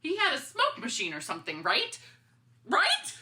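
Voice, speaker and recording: A woman's voice: high voice, wildly swooping pitch, moderate pace, pushed and bright, full character voice. A woman playing a sarcastic, skeptical teenage schoolgirl in a dramatic comic reading, arguing drily. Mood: alarmed, indignant.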